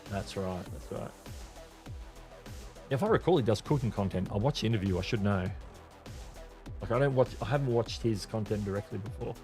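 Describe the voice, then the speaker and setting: A man's voice: fairly low pitch, lively intonation, brisk, pauses often, darkish tone, faint music behind. A man hosting a live creator news stream, talking loosely with lots of restarts and fillers, reading viewer chat aloud. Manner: rambling, many restarts and fillers. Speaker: host.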